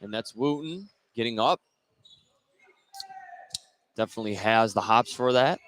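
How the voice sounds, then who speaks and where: Guy doing live hoops play-by-play on radio, mid pitch, some gym noise behind him. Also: expressive, measured pace, a bit bright. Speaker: play-by-play basketball announcer. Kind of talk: live radio sports commentary. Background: indoor basketball game, bouncing ball.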